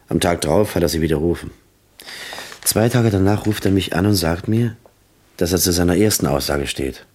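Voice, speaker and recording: An actor playing a principled, wronged police detective in a radio drama, plain-spoken and weary. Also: male voice, sits low, breathy edge. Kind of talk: German crime radio drama acting.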